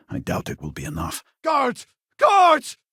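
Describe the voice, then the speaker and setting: An actor playing a weary, devout male scientist in a sci-fi video game, speaking in a formal, measured, earnest way with a husky voice. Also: extremely high pitch, wildly swooping pitch, pushed and bright, no echo, studio quality, heavily acted. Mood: alarmed. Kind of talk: scripted video game character dialogue.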